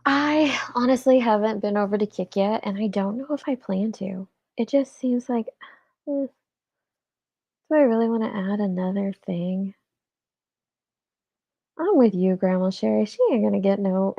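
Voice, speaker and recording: A woman in her forties, congested and a little rough-voiced, chatting casually with her live chat and laughing often. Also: medium pitch, normal speed, pauses often, warm tone, no echo, dull audio. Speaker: livestream host.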